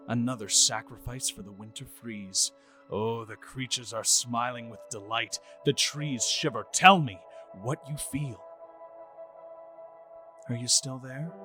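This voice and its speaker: Guy with a lowish voice doing a creepy poem reading, real slow n menacing, ambient music behind him. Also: pushed and bright. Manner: slow, eerie, menacing delivery. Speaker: poetry reader. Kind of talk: dramatic spoken-word reading of a horror poem.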